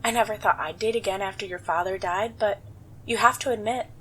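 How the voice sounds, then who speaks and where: An actress playing a nurturing, gently fussing middle-aged mother in a comic dub. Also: medium pitch, fairly even intonation, brisk, slight background noise, natural voice, low-quality recording. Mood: caring. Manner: nurturing, reassuring. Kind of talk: dramatic comic dub acting.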